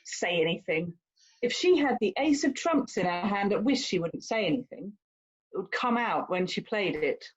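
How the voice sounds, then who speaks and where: A woman's voice: mid-range voice, lively intonation, moderate pace, dull audio, lightly acted. A woman playing a haughty, worldly young lady in a period drama, with an emphatic, condescending, theatrical delivery. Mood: exasperated. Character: haughty, worldly young English lady, teasing older sister. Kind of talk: stage-style period drama dialogue.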